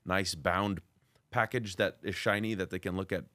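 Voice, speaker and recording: Loud guy hosting a podcast, lowish voice, chatty, dry close sound. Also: steady tone, moderate pace, no room sound. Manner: loud, talkative. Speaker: podcast host, commercial real estate broker. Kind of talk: casual interview conversation.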